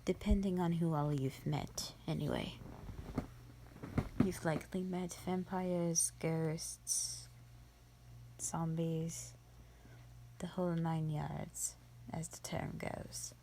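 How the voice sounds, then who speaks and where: A woman voicing a bored, contemptuous female vampire in an audio roleplay, with a breathy, creaky voice and a disdainful, formal drawl. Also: sits low, fairly even intonation, slow pace, pauses often, dark tone, a little hiss or hum, poor audio. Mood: bored, haughty. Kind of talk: scripted roleplay monologue for the listener.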